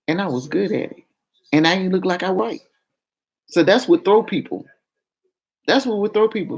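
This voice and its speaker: A woman with a fairly deep voice that carries well talks to her viewers. She is frank and confessional in a loose, casual vlog style, and she calls her audience "y'all". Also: a little mellow, low-quality recording. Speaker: vlogger. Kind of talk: candid casual talk to viewers.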